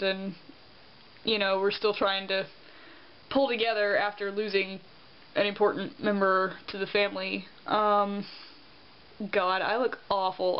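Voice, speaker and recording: Tired, congested young woman vlogging. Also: medium pitch. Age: young adult. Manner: rambling, chatty, self-deprecating. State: congested with a sore throat. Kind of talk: casual vlog chat. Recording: dull lo-fi sound with faint hiss.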